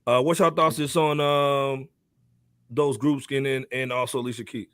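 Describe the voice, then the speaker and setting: A man's voice: mid-range voice, fairly even intonation, normal speed, clean tone, no room sound, clean studio sound. A man hosting a casual hip hop podcast, laid-back, tagging "man" onto most phrases. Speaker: podcast host.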